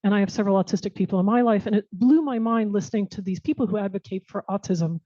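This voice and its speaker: A woman's voice: mid-range voice, quick pace, warm tone, dry recording. An American woman speaking as a guest at a Q&A, answering earnestly and reflectively, often restarting her phrases. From United States. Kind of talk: conversational answers at a panel Q&A. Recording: muffled dull sound.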